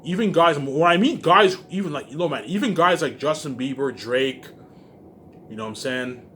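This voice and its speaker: A man's voice: a little high, moderate pace, a bit bright. A man rambling casually to viewers in an emphatic, repetitive, profane way, leaning on the same verbal tags. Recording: faint laundry-machine background noise.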